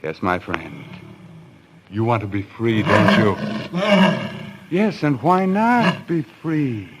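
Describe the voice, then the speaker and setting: A man's voice: lowish voice, animated, takes it slowly, very noisy. An actor playing a tormented, menacing hunter husband losing his sanity, in a theatrical, intense old-time radio thriller style. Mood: menacing, fevered. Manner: theatrical, sarcastic, brooding, intense. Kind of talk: old-time radio thriller acting and first-person narration. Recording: old radio recording with music behind.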